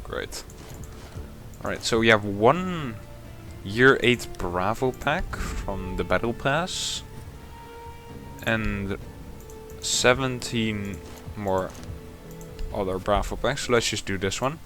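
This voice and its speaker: A man who grumbles sarcastically through his pack openings and then jumps into sudden excited shouts. His pitch swings widely, and his tone stays bright and tense. Faint music plays underneath. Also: slow, long pauses. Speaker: gaming content creator. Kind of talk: casual commentary while opening game packs.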